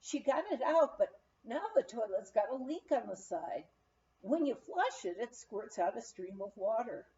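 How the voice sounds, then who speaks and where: High, animated woman reading a memoir. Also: moderate pace, highs cut off, a little hiss or hum. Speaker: audiobook reader. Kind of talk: narrated memoir reading, anecdotal storytelling.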